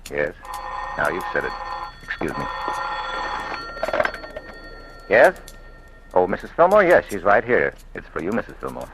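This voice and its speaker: An actor playing a calm, courteous, firm blind detective in an old radio drama, speaking in a measured, direct way. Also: male voice, low-pitched, background noise, heavily acted. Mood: firm, matter-of-fact. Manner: measured, matter-of-fact, direct. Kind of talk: 1940s radio drama acting and first-person narration. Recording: old radio recording with music.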